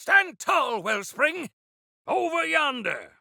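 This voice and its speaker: A creaky, wildly animated old warrior. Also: male voice, very high-pitched, takes it slowly, dry recording, clean studio sound, exaggerated voice. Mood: rallying. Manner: theatrical, grandiose, archaic turns of phrase. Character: defiant, feisty old male exiled clan warrior-engineer. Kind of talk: video game character acting.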